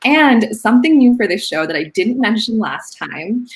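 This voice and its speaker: A woman's voice: a little high, sing-song, normal speed. A woman hosting a casual online interview show, upbeat and encouraging with her guest, on a video call mic. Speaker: podcast host.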